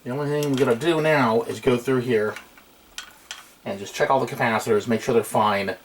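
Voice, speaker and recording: A man's voice: medium pitch, steady tone, a little fast. A middle-aged man giving a casual, unscripted hands-on demonstration at a workbench, recorded on a camera microphone in a small room. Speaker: hobbyist electronics restorer. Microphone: camera microphone at a short distance. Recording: noisy amateur camcorder room recording.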